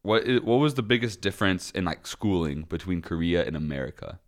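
Young male host with a low voice. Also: animated, a little fast. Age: young adult. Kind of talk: casual podcast chat.

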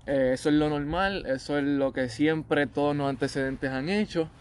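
A man's voice: fairly high pitch, normal speed. A man from Puerto Rico with a Puerto Rican accent, talking in a casual debate and often checking that he is understood. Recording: clear background ambient noise.

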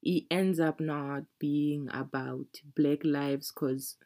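A woman from Zimbabwe in a casual conversation, speaking in a reflective, measured way. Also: low-pitched, fairly even intonation, darkish tone.

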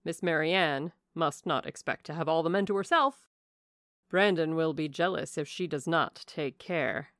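A woman voicing a jovial, blunt country gentleman in an audiobook of a classic novel, speaking in a bluff, emphatic way. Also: low-pitched, a little slow, dry recording, clean studio sound. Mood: teasing. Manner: bluff, emphatic, good-humoured.

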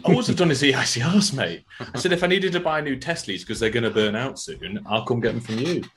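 A man's voice: mid-range voice, animated, fairly quick, a bit bright, a little hiss or hum. A man in his late twenties, an electrician co-hosting a casual podcast, telling stories and acting out the voices of people he quotes. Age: 20s. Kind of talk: casual group chat.

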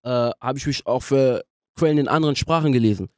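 Young man, halting casual podcast guest. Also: mid-range voice, no room sound, clean studio sound. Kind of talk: casual interview conversation.

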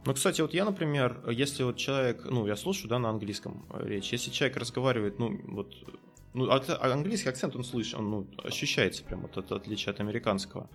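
A man's voice: mid-range voice, fast, faint music behind. A man with a southern Russian accent from the Kursk region, interviewing casually and asking hesitant questions full of fillers. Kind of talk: casual conversation.

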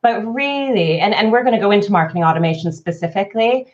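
A woman in her forties with a Canadian accent, slightly softened by years in the UK, speaking as a marketing consultant guest in a webinar interview, fluent and animated in long explanations. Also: medium pitch, fast talker. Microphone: video call. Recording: lo-fi webinar audio.

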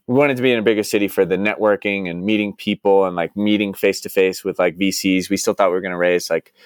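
A man's voice: fairly low pitch, clear, resonant tone, no echo, clean studio sound. A man from Dallas, a guest in a casual interview, rambling with lots of "like" and restarts. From United States (Dallas). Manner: rambling, heavy use of "like" and restarts. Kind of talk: casual conversation.

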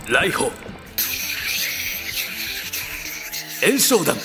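Dude doing a cocky sneering lightning villain, big swingy delivery, theatrical, music behind him. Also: male voice, fairly high pitch, sing-song, measured pace. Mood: aggressive. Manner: sneering, theatrical, spell-shouting. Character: arrogant, hot-tempered male lightning mage villain. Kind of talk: fantasy audio drama acting.

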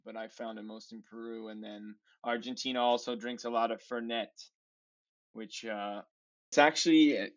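A man in his twenties with an American accent, chatting casually and haltingly as a podcast guest. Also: mid-range voice, a bit bright. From San Francisco, USA. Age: 20s. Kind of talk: casual travel chat. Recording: dull, lo-fi voice capture.

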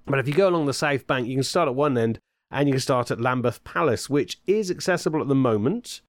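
A man hosts a podcast in a chatty, rambling manner, tossing in the odd filler. His voice sits at a middle pitch. The sound is dry and close, with no room echo. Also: expressive, fast talker. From Britain. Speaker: podcast host and author. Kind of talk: casual podcast chat.

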